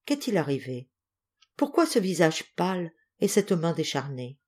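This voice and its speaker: A woman's voice: fairly low pitch, very animated, a little slow, darkish tone, slightly rough, heavily acted. Impassioned, desperate young wife acted by a woman. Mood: anguished pleading. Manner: impassioned, pleading, theatrical. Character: anguished young wife and mother, desperate, fiercely protective.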